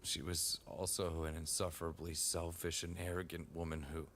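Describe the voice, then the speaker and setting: A man's voice: deep voice, flat delivery, moderate pace, a bit bright, a little hiss or hum. A man acting an earnest, wounded warrior who narrates and speaks in a fan audio drama. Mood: grieving, wistful. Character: earnest, wounded male Titan warrior, grieving and exasperated.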